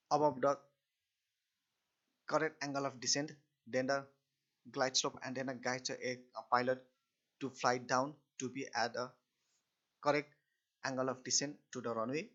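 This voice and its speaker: A man giving an explanatory technical lecture as a lecturer, in halting, repetitive phrasing with restarts. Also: mid-range voice, a bit bright. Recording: dull, lo-fi recording.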